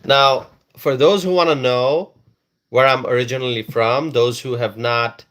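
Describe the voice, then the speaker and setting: A man's voice: mid-range voice, fairly even intonation, a little slow, a bit bright. A man from Bangladesh hosting a casual live stream, reading viewers' comments aloud and repeating phrases for emphasis.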